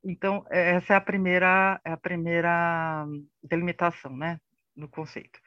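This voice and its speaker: A man's voice: on the high side, little pitch movement, normal speed, sharp tone, dry recording, muffled recording. A man with a Brazilian accent giving an informal lecture in a didactic, conversational way, often adding tag questions. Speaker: panelist presenting on disinformation. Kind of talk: informal lecture with slides in an online debate. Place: online live session recorded for a podcast.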